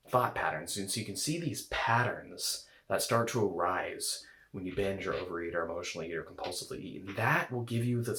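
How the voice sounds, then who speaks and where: A man's voice: low-pitched, a bit bright. A man hosting and coaching on eating habits, talking loosely with fillers and restarts. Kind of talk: casual coaching talk to camera.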